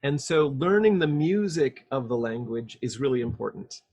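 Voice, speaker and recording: A man's voice: mid-range voice, normal speed, creaky, darkish tone, slightly rough. A man coaching on English pronunciation over a video call, explaining patiently and demonstrating sounds. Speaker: English speech coach being interviewed. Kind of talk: casual video call interview.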